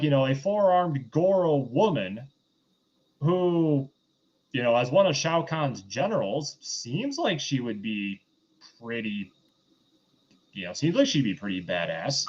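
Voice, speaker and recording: A man's voice: mid-range voice, sing-song, measured pace, darkish tone. Man with slightly muffled sound, rambling recap. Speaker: podcast host recapping and reviewing a film. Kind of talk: casual review chat.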